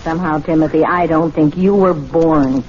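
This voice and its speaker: A woman's voice: deep voice, steady tone, moderate pace, darkish tone, a little hiss or hum, natural voice. An actress playing a long-suffering, sceptical woman, chiding and weary, in a 1970s radio mystery drama. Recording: muffled old radio recording.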